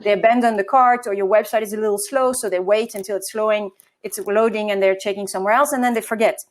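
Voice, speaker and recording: A woman's voice: mid-range voice, steady tone, fast. A woman from France with a French accent, a marketing agency co-founder answering interview questions, with hesitations and self-corrections.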